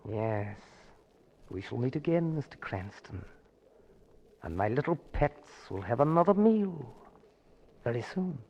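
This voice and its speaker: An actor playing a sinister, vengeful villain in 1940s radio drama, suavely menacing and commanding. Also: male voice, mid-range voice, big pitch swings, measured pace, pauses often, muffled recording, very noisy. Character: sinister, gloating, vengeful villain with murderous pets. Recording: old 1940s radio broadcast.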